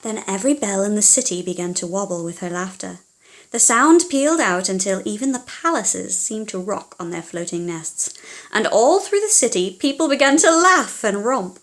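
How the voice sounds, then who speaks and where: A woman narrating a children's storybook in an expressive, dramatic way with hushed passages. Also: mid-range voice, normal speed.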